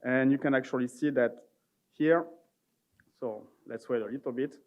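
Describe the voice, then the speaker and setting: A man giving an informal, somewhat halting live product demo as a conference presenter. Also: medium pitch, highs cut off.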